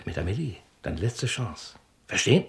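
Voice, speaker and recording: An actor in a radio drama playing a shady, bossy real-estate broker with a pushy, colloquial, clipped way of talking. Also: male voice, lowish voice, big pitch swings, normal speed. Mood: scheming. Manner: colloquial, pushy wheeler-dealer talk with clipped phrases.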